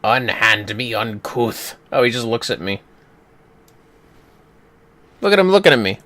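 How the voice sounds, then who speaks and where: A man chatting casually while gaming on a livestream, playful, exclamatory and quick to swear. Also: medium pitch, big pitch swings, fairly bright tone. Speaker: gamer on a livestream. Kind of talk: casual gameplay chat.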